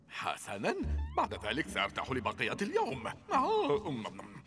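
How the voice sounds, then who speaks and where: Sly cackling villain in disguise. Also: male voice, fairly high pitch, wildly swooping pitch, moderate pace. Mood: scheming. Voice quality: wheedling, cackling schemer. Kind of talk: Arabic dub of a children's TV show. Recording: music bed under dialogue.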